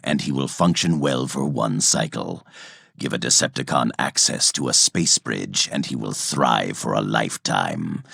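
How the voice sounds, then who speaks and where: An actor playing a cold, calculating robot villain scientist in a video game, speaking in a measured, clinical and menacing way with formal diction. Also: male voice, very deep voice, vocal fry, fairly bright tone, slightly rough, no echo, clean studio sound, heavily acted. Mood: cold ambition. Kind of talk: scripted video game character monologue.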